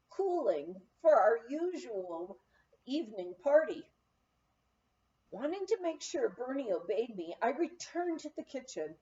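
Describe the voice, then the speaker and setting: A woman narrates a memoir in an expressive storytelling style. Her voice is fairly high and mellow, and she takes her time with each phrase. The recording sounds a little dull and lo-fi. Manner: storytelling, acts out quoted dialogue. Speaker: audiobook reader.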